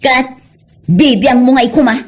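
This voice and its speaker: A woman's voice: high-pitched, measured pace. A woman acting a quarrelsome, defensive wife with a scolding, argumentative manner in a comedy drama. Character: quarrelsome, defensive wife obsessed with making reels.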